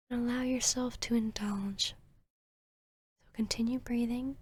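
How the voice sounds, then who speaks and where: A woman's voice: fairly high pitch, a little mellow, husky. A woman voicing a seductive, arrogant vampiress posing as a therapist in an ASMR roleplay, half-whispering in a soothing, commanding, teasing way. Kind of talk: ASMR roleplay, hypnotic guided induction.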